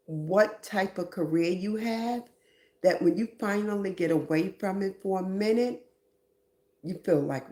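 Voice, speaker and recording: A woman's voice: fairly low pitch, warm tone, roomy sound. A woman hosting a live stream, giving reflective motivational talk and often asking "right?".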